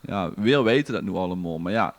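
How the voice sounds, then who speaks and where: A man's voice: sits low, lively intonation, a little fast, slight background noise. Limburg man hosting a casual podcast. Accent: Limburgish Dutch. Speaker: podcast host.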